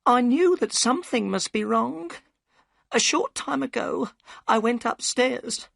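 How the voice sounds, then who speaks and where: A terrified old housekeeper pleads in a high, shaky voice that swoops up and down, as a storyteller puts her on. The breathy, husky tone sits close and dry in the recording. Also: lively intonation, a little slow, fairly bright tone, no echo, full character voice. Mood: pleading. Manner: high-pitched, pleading, tearful. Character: frightened tall old housekeeper woman.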